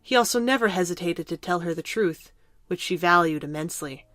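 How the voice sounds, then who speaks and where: A woman's voice: fairly low pitch, fairly quick, fairly bright tone, no echo. A woman narrator reading scripted narration for a fantasy audio drama.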